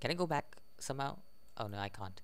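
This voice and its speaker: A man's voice: medium pitch, animated, fast, fairly bright tone, clear, resonant tone. A man giving casual playthrough commentary, reading game text aloud and tossing in jokey side remarks. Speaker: gaming video host. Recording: faint music under the voice. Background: video game music.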